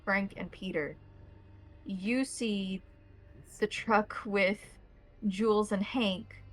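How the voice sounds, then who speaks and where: A woman's voice: mid-range voice, steady tone, very slow, faint music behind. A woman acting as game master, explaining and describing scenes in detail for a tabletop role-playing game.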